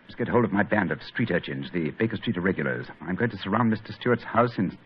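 An actor playing a brisk, commanding male detective, in a 1940s radio drama. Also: deep voice, lively intonation. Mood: urgent. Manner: crisp, clipped and authoritative. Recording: muffled old radio broadcast with faint hiss.

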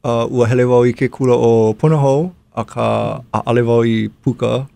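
A young musician from Oahu answers a podcast host's questions, pausing often with fillers as he finds his words. His voice has a gravelly edge and a mellow, warm tone, heard clearly on a dry, close studio mic. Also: mid-range voice, steady tone, no room sound. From Oahu, Hawaii. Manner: hesitant, many fillers, gentle laughs. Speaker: guest musician. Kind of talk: interview answers in Hawaiian. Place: small radio studio. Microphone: studio mic on boom arm.

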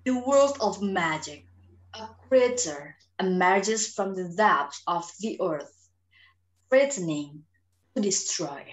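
A woman's voice: mid-range voice, expressive, slow pace. A young woman playing a vain, arrogant actress in an amateur dramatic reading, with a haughty, sarcastic manner. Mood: solemn narrating. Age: young adult. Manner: haughty, sarcastic, self-important. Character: arrogant, vain young female film star. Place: small room at home, indoors. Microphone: video call. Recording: roomy video-call sound.

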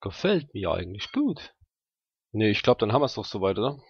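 Low-voiced man thinking aloud, slightly dull sound. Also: sing-song, a little fast, husky, no echo. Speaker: tabletop role-playing player. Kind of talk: casual game-table chat.

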